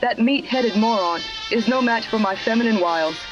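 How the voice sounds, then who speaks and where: A woman's voice: a little high, moderate pace, natural voice. A woman playing a defiant, scheming den mother of a nightclub in a parody radio drama. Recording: muffled old-radio sound with music.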